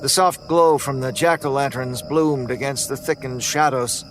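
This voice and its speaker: A man telling a first-person horror story in a tense, measured way. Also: medium pitch, steady tone, soft background music. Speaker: narrator.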